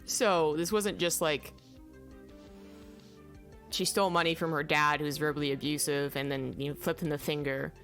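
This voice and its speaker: A woman's voice: low voice, fairly even intonation, moderate pace, pauses often, a bit bright, soft background music. A young adult woman casually reviewing films, rambling and stopping to search aloud for names. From native English speaker. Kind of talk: casual film review chat.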